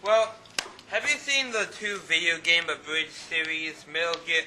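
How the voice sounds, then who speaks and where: A man with a fairly high voice speaks casually as an audience member at a convention panel. The live recording is swamped with noise as he puts his fan question. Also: expressive, unhurried. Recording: very noisy live room recording.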